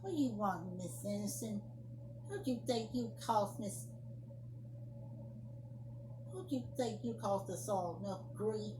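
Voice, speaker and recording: A woman's voice: medium pitch, lively intonation, unhurried, long pauses, background noise, close to an everyday voice. Woman acting an indignant young woman, echoey room. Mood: indignant scolding. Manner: scolding, emphatic, repeats phrases. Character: indignant young woman scolding her friend's relative by phone. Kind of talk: amateur solo acted monologue, one side of a phone argument. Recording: roomy echoing home recording.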